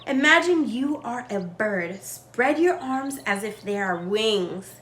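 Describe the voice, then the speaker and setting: A woman reading the part of a kindly, encouraging man in a storybook, gentle and measured. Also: on the high side, expressive, roomy sound. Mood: guiding.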